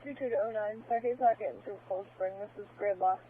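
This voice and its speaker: A woman's voice: medium pitch, brisk, phone-quality sound. A woman police officer on patrol, talking casually over a two-way radio. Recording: thin, noisy police radio transmission.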